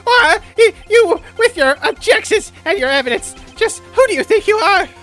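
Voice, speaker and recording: A man voicing a nervous, easily indignant murder witness in a video game, stammering and spluttering excitably. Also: very high-pitched, normal speed, faint music behind, voice pushed up. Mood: indignant, panicked. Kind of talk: hammy video game character voice.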